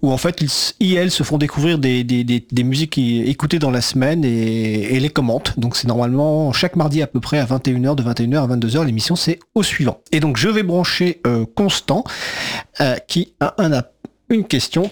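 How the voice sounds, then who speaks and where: A man's voice: mid-range voice, fairly quick. Male radio host, casual, filler-heavy announcing. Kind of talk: community radio hosting.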